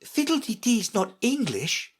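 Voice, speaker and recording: A woman puts on an earnest little girl from a storybook, high and lilting. She is polite and careful, answering gravely and properly. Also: big pitch swings, measured pace, exaggerated voice. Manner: careful, grave, well-mannered.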